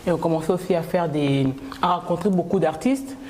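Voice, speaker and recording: Low-voiced woman from Kinshasa, hesitant. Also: darkish tone, a little hiss or hum. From Congo (Kinshasa). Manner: hesitant, many pauses and laughs. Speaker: singer and guest. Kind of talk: casual interview.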